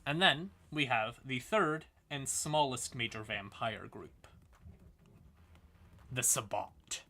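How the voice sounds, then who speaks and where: A man giving an informal livestream explainer on fantasy lore, halting and wry, with casual asides to his chat. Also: medium pitch, sharp tone.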